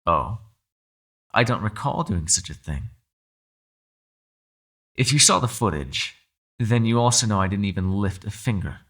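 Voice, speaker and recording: A man performs a captive fox spirit in an ASMR roleplay, low-pitched and crisply bright. He is sly and mock-polite, taunting with theatrical, widely swooping intonation and quiet menace. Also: animated, unhurried, pauses often, exaggerated voice. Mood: proud, cool.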